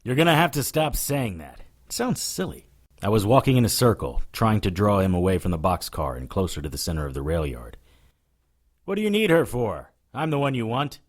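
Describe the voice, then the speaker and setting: A wry witch hunter telling his own adventure in an audio drama. His voice sits fairly low, dry and sardonic, with a cocky streak. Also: very animated, brisk. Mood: sardonic, defiant. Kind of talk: first-person audio drama narration and dialogue.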